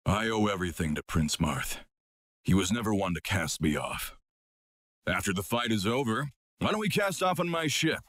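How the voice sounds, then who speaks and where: Big hearty game pirate, ahoy style sailor talk, swoopy delivery. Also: male voice, fairly low pitch, lively intonation, normal speed, a bit bright, no echo, studio quality. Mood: hearty, earnest. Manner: old-time pirate talk.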